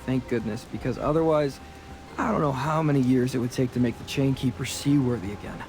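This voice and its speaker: A man's voice: medium pitch, a little fast, close to an everyday voice, poor audio. A man voicing a roguish, charming, chatty sea adventurer in a fantasy game, with a breezy, self-assured way of talking. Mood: breezy, friendly. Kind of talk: voiced dialogue in a fantasy game.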